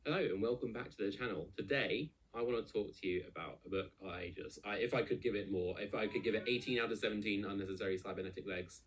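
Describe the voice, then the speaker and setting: A man speaking off to the side, using a rapid, mock-grand presenter delivery. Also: fairly low pitch.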